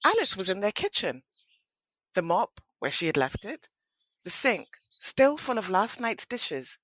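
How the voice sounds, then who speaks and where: A woman narrating a scripted audio play in an expressive, dramatic storytelling manner. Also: medium pitch, measured pace.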